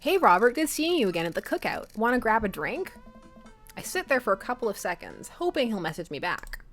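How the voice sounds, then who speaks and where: A woman's voice: a little high, very animated, a little fast, a bit bright, soft background music. A young woman streaming a dating game, chatting with viewers, laughing often and reading the game text aloud. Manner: giggly, laughs often between lines; chatty, asks viewers questions. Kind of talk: casual let's-play chat, reading game text aloud.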